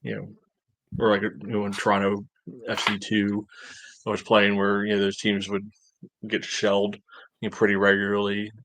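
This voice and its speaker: Flat-toned, low-voiced male sports podcaster. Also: no room sound, low-quality recording. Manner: halting, thinking aloud, heavy on "you know". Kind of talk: casual sports analysis chat.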